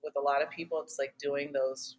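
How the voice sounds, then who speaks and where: A woman's voice: a bass voice, level tone, fairly quick, a little mellow, slight background noise. A woman who coaches fitness, talking casually in an interview conversation.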